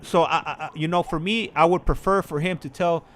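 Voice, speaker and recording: A man's voice: a little high, fairly even intonation, brisk, a bit bright. An American man chatting casually as a channel host, telling personal stories in a rambling, repetitive way full of filler phrases. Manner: rambling, repetitive, peppered with "like" and "you know".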